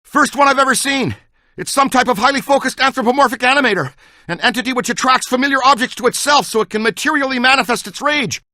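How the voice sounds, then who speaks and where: A man's voice: very high voice, quick pace, bright, forceful tone, no echo, studio quality, full character voice. A man acting as an eager, talkative ghost-hunting character in a video game, earnest and full of technical jargon. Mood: excited, fascinated. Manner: earnest, technical jargon, urgent call-outs.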